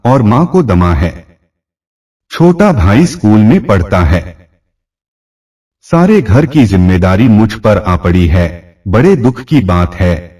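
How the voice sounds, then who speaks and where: A man reading the part of a poor young woman in a story, resigned, deferential and quietly pragmatic. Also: on the low side, very animated, takes it slowly, long pauses, distant mic, exaggerated voice. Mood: sad, resigned.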